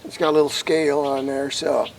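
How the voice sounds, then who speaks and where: An American man with a slightly high, creaky voice talks informally, as if working things out aloud while he shows his gear. His delivery is casual and rambling, and there is clear background noise around him. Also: level tone, fairly quick, rough recording. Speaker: hobbyist vlogger demonstrating CB radio gear. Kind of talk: casual rambling demonstration to camera.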